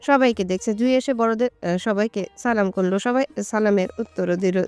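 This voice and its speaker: A woman's voice: medium pitch, moderate pace, a little mellow, clear, resonant tone, dry recording, soft background music. A woman storyteller reading a scripted romantic story as narration.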